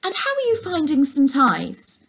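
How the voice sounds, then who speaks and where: Woman acting storybook housekeeper, middle-aged, nosy, falsely sweet, high-pitched, wheedling. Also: huge pitch swings, normal speed, gravelly, a bit bright, full character voice. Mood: purring, probing.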